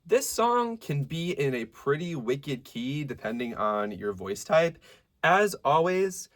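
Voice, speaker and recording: A male vocal coach with a medium-low speaking voice that still comes across bright and forward. His pitch swings widely as he explains singing technique. He often pauses to demonstrate a line before going back to teaching. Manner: lively, demonstrative teacher who breaks into sung examples.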